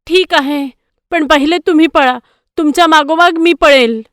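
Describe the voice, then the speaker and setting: A woman voicing an anxious, self-sacrificing mother goat in an animated story, pleading and tender. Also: high voice, moderate pace, dry recording, studio quality. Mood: tender, urgent. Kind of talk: animated story character voice acting.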